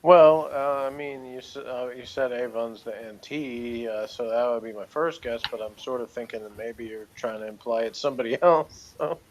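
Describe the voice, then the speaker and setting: A man's voice: mid-range voice, normal speed, few pauses, crowd noise, rough recording. A man giving his views in casual chat, speaking tentatively and hesitantly. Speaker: co-commentator. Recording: faint background noise.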